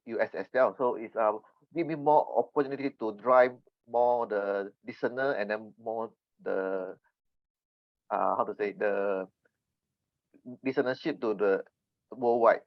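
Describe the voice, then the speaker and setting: A Malaysian man, a native Mandarin speaker, answering podcast interview questions earnestly and haltingly as he searches for words. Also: lowish voice, dry recording. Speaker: podcast guest. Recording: dull, slightly lo-fi remote connection.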